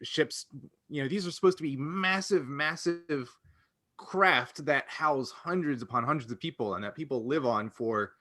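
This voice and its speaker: A man's voice: a little high, moderate pace, bright, forceful tone, dry recording, clean studio sound. A man co-hosting a casual fan discussion, speaking earnestly and often rephrasing himself mid-thought. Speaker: podcast co-host.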